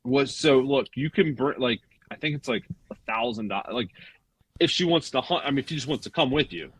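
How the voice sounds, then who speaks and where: Man, mid-30s, guest, chatty and agreeable, medium pitch, dry close sound. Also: a little fast, fairly bright tone, breathy edge, no echo. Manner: chatty, agreeable, says "yep" a lot. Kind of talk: casual chat.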